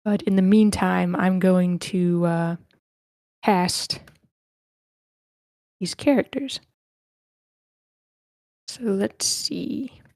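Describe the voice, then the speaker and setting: A woman's voice: lowish voice, very slow, long pauses, a little mellow, no room sound. A woman casually hosting an online group read, polite and informal, often thinking aloud as she organizes things. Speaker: host of online table read. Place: online voice meeting.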